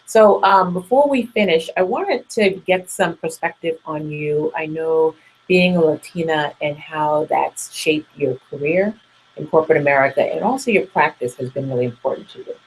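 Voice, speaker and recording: A woman's voice: low voice, normal speed, slight background noise, poor audio. A woman hosting an interview, asking questions and encouraging her guest with frequent affirming murmurs. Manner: encouraging, many affirming mm-hmms. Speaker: talk show host. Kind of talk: interview conversation.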